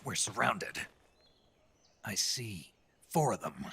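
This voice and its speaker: An actor playing a gruff, guarded special-forces soldier in a video game, terse and deadpan, in a gravelly, breathy murmur. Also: male voice, on the low side, takes it slowly, slight background noise, exaggerated voice. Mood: tense. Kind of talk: video game cutscene acting.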